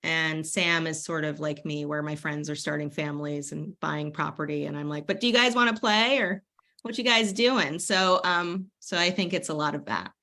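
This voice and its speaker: A middle-aged woman with an American accent talks casually about her writing. Her voice sits quite low yet has a clear bright edge. She rambles playfully, slipping into self-deprecating asides. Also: normal speed. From United States. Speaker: author. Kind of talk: casual author panel talk.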